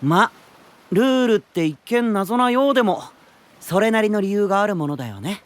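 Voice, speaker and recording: A man's voice: sits high, expressive, normal speed. An actor plays a curious, easygoing teenage boy knight in an anime audio drama, casual and open in manner. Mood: cheerful.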